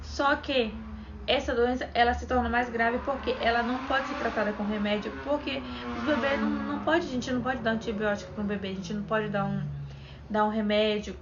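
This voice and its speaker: A young woman from Brazil chats to her camera as a vlogger, her voice sitting a little high. She retells events in a chatty, conversational way. The sound is dull and lo-fi with a faint hiss behind her. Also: fairly even intonation, brisk. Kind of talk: casual chat to camera.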